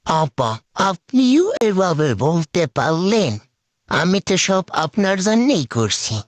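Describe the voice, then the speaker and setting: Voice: medium pitch, sing-song, slow pace, no room sound. An actor voicing a servile male crow on the run, whining and pleading in rustic colloquial speech in a comic cartoon. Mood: aggrieved. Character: whining, servile male crow sidekick, scruffy fugitive politician.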